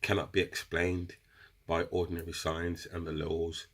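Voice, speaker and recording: A man with a very deep, low voice and a husky edge, stuffy from a cold and a runny nose. He talks casually and directly to his viewers, explaining a practice step by step like a relaxed teacher. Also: moderate pace. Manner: chatty, addresses viewers as guys. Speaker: crystal seller explaining meditation. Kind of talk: casual instructional video talk.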